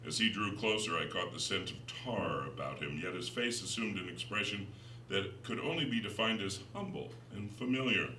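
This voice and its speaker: Deep-voiced man reading dramatically, roomy recording. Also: expressive, normal speed, sharp tone. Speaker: author reading his own novel. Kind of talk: dramatic literary narration. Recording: roomy, distant, noisy recording.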